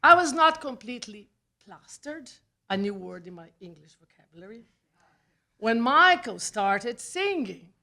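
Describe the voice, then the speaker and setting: A woman with a Brazilian accent, a native Portuguese speaker, reads a personal essay aloud at a live event, with deliberate phrasing and dramatic pauses. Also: on the high side, sing-song, creaky, pushed and bright. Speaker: writer reading own personal essay. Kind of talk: scripted storytelling read aloud at a live event. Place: small theatre, live reading event.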